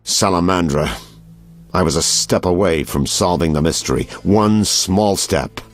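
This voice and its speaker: An actor playing an anxious, hunted detective in a video game, with urgent, clipped delivery. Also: male voice, deep voice, a little slow, faint music behind. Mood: tense, urgent.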